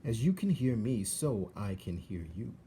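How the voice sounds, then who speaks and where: A man's voice: fairly low pitch, very animated, normal speed, mellow, slight background noise. A man voicing a scheming male rat in a storybook, with courtly, coaxing, over-polite speech. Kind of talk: storybook character voice.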